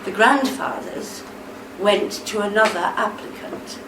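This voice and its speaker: An actress playing a steady, reassuring middle-aged wife in a radio play, gentle and consoling. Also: female voice, mid-range voice, a little slow, close to an everyday voice. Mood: careful, explaining. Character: steady, tender, reassuring wife of a troubled veteran. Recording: roomy, distant mic, faint background noise.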